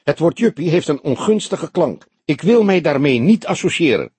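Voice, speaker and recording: A man performing a haughty businessman in his thirties for an audiobook. He is snappy, impatient and venomous, with lively, swooping intonation and a slightly husky edge. The sound is dry and close. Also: a little high, moderate pace, dry recording, voice pushed up, heavily acted. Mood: haughty, indignant. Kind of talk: Dutch audiobook character dialogue.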